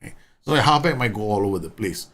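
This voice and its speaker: A chatty man in his thirties, talking through a live game stream. His voice sits a little low, and he often stumbles and repeats words as he explains tactics at length. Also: animated, fast, a bit bright. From Singapore. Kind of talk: live gameplay commentary and chat.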